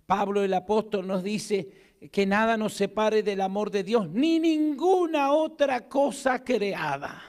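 A middle-aged man with an Argentine accent preaches from a pulpit in a church hall. His voice sits high for a man. He speaks into a handheld mic and swings between emphatic calls for an amen and hushed, quiet asides. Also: slow pace. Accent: Argentine Spanish. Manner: emphatic preaching, rising loud to call for amens and dropping to a hush. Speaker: pastor. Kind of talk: sermon. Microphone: handheld mic at a pulpit, far camera.

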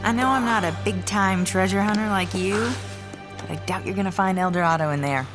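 A woman acting a young reporter in an adventure game. She is breezy, plucky and teasing, at an ordinary pitch. Music plays clearly behind her. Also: fairly quick, natural voice. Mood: teasing, confident. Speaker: hero's companion, a TV presenter.

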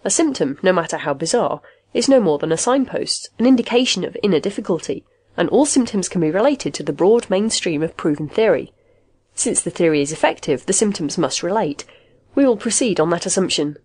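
A woman's voice: lowish voice, lively intonation, a little fast, a little mellow, breathy edge. A woman voicing a soothing, pedantic therapy machine in an audiobook story, speaking in formal clinical terms. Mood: confident, clinical.

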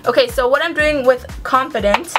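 A woman's voice: high voice, moderate pace, bright, forceful tone, soft background music. A young woman doing a makeup tutorial at home, chatting casually with lots of ums and likes and giving earnest advice. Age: young adult. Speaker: beauty vlogger. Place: small home room with shelves, indoors. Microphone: camera at close range.

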